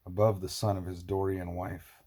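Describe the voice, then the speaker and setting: Man, audiobook narration, husky voice, lowish pitch, measured dramatic storytelling. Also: little pitch movement, warm tone. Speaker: audiobook reader. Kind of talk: scripted narration of a novel.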